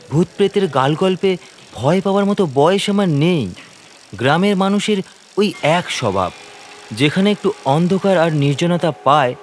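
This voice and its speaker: A man giving dramatic, suspenseful first-person narration of a scripted horror story. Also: on the high side. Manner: theatrical, suspenseful delivery. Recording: faint ambient noise, croaking frogs behind.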